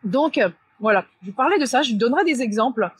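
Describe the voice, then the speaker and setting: A woman with a slightly husky voice talks casually to viewers, explaining things in a halting way with self-corrections. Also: a little high, lively intonation, slight background noise. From France. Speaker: channel host and spiritual coach. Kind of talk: casual vlog talk to viewers. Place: outdoors beside a large tree near a road.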